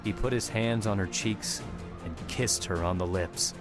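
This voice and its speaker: Low-voiced male audiobook narrator. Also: unhurried. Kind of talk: scripted narration. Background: music.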